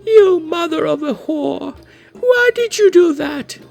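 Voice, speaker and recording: A man voicing a terrified male debtor merchant who stammers out shrill, panicky pleas for mercy. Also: expressive, slow pace, creaky, darkish tone, soft background music, full character voice. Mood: outraged.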